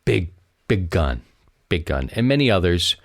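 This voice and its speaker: A man with a low, deep voice talks on his own as a podcast host. His delivery is casual and conversational, rambling along as he speaks straight to his listeners. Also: very animated, measured pace, no room sound, studio quality. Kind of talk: casual solo podcast talk.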